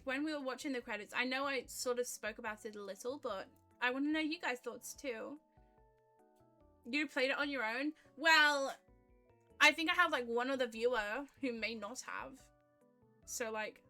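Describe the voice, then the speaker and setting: Bright, high-pitched woman streaming. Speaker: streamer, indie vtuber. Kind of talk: casual livestream chat with viewers.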